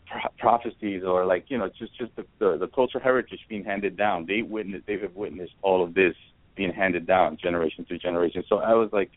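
A man's voice: deep voice, steady tone, fairly quick, no room sound. A man from Ecuador, a band founder, answering radio interview questions in a rambling, hesitant way with many fillers. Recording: tinny phone-like line.

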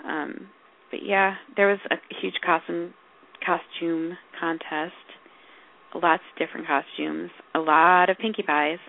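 A woman co-hosting a podcast in casual chat, rambling with many fillers and restarts, her voice going hoarse. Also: on the low side, fairly even intonation, unhurried. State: losing her voice, coughing. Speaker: podcast co-host. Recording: tinny phone-like call audio.

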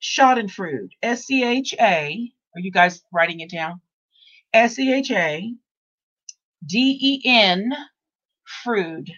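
A woman's voice: mid-range voice, expressive, takes it slowly, long pauses, a bit bright, lo-fi sound. A woman in her sixties hosting a casual talk show, rambling and repeating herself with many restarts. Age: 60s. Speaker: host. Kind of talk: casual podcast chat.

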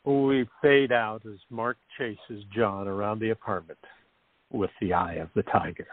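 Radio farce narrator, played by a man, unhurried delivery, narrow phone-like sound. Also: lowish voice, fairly even intonation, no echo, pitched below the performer's own voice. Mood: wry narrating. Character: narrator of a farcical radio play. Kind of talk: scripted narration in an audio comedy. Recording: muffled phone-line sound.

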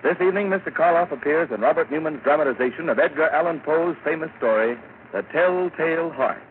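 High-pitched man announcing on crackly vintage radio. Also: expressive, fast, phone-quality sound. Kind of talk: 1940s radio announcing, scripted. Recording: noisy, band-limited 1940s radio recording.

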